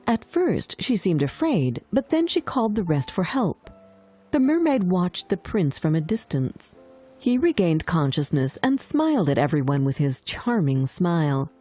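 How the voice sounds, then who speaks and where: Female storybook narrator: mellow, lowish voice, very expressive melody, music bed. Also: measured pace, slightly rough. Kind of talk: scripted children's fairy-tale storytelling.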